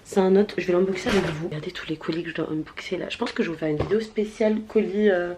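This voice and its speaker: A woman's voice: lowish voice, racing, noisy background. A woman in her late twenties from southern France, chatting casually in a vlog with many fillers and self-mocking asides. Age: late 20s. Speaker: vlogger. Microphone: handheld camera.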